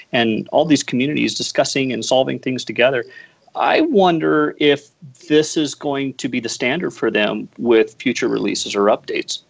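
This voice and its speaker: Gravelly man thinking aloud. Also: mid-range voice. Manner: thoughtful, wondering aloud, many fillers. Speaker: guest on a call-in panel.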